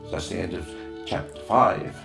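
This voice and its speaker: A man's voice: low voice, moderate pace, gravelly, over music. A man reading a children's adventure novel aloud as scripted narration. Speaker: audiobook reader. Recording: roomy, echoing room sound.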